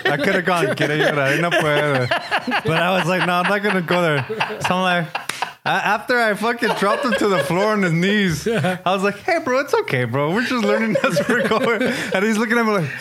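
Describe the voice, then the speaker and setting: A man's voice: high voice, lively intonation, brisk, background noise. A man co-hosting a casual podcast, teasing his friend with laughter, swearing and Spanish slang. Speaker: podcast co-host. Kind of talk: casual chat.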